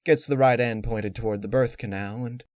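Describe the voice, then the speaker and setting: A man's voice: medium pitch, fairly even intonation, moderate pace, darkish tone, no echo, natural voice. Guy doing a folksy worried farm pony lady in a story, homespun talk, close dry sound. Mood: easygoing. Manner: folksy, homespun country phrasing. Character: anxious pregnant farm mare with folksy country talk.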